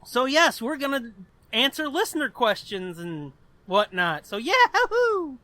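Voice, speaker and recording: A man's voice: extremely high pitch, big pitch swings, unhurried, bright, forceful tone, dry recording. A man co-hosting a podcast in casual comedic banter, crude and sardonic, swearing freely. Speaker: podcast co-host.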